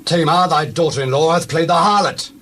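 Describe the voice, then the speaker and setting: A man's voice: fairly high pitch, brisk, fairly bright tone, natural voice. An actor playing a blunt townsman in a dramatized scripture reading, declaiming his news. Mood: accusing. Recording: noisy older audio drama recording.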